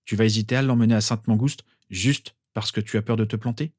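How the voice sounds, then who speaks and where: A man's voice: lowish voice, steady tone, fast, a little mellow, lightly acted. A man voicing a stern, protective father and teacher in an audiobook, firm and lecturing. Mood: firm, instructing.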